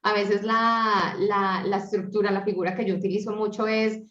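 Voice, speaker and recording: A woman's voice: medium pitch, level tone, moderate pace, fairly bright tone. A woman hosting a webinar over a video call, speaking in an encouraging, reflective way. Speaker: webinar host. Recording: echoey, dull lo-fi video call.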